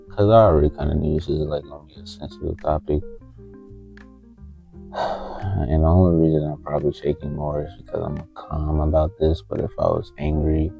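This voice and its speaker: A man recording a heartfelt, halting confessional vlog on a handheld phone in a small closet, with a TV faintly audible from the next room. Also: very deep voice, creaky, dark tone. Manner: halting, heartfelt, stops often to find words. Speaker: vlogger. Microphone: handheld phone camera. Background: faint TV music from the next room.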